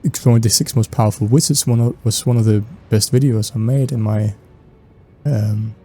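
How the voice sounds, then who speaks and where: Relaxed Danish-accented man, dark tone. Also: lowish voice, normal speed, soft background music. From Denmark. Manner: relaxed, rambling, many fillers and restarts. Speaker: gaming YouTuber. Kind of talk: casual gameplay chat.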